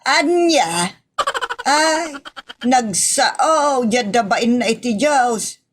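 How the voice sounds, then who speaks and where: A woman's voice: a little high, expressive, drawn-out words, background noise. A woman with an Ilocano accent playing an exasperated, sarcastic housewife who scolds in a comedy audio drama. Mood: angry.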